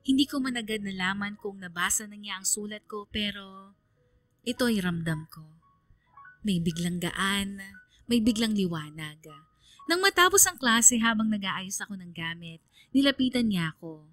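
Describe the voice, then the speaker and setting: A woman's voice: medium pitch, lively intonation, moderate pace, fairly bright tone, dry recording, close to an everyday voice. An actress playing a reflective, shy female student who tells her story as radio drama narration. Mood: anxious, tender. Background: music under the voice.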